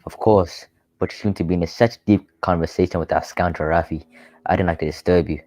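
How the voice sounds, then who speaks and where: A man playing a smug, scheming gentleman villain in a radio mystery, taunting with mock politeness. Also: sits low, fairly even intonation, a little fast, no room sound, close to an everyday voice. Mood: smug, mock-friendly. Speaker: actor in a student radio drama. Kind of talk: old-time radio mystery acting.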